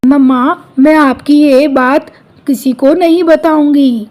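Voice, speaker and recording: A woman's voice: high-pitched, steady tone, slow pace, darkish tone. A woman voicing an earnest, dutiful young bird daughter in a cartoon story. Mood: sad, promising. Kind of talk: Hindi cartoon story dialogue.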